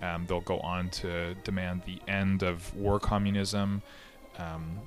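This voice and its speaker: Man, very low voice, casual history podcast host, lots of ums and restarts, faint background music. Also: level tone, takes it slowly. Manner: conversational, many fillers and restarts. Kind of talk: casual explanatory history talk.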